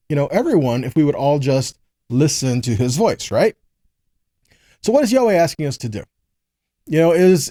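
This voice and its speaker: Male American preacher, relaxed and slightly high-pitched, teaching scripture conversationally and checking in with rhetorical questions. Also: dry recording, clean studio sound. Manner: rhetorical questions and frequent check-ins with listeners. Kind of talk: conversational sermon with scripture readings.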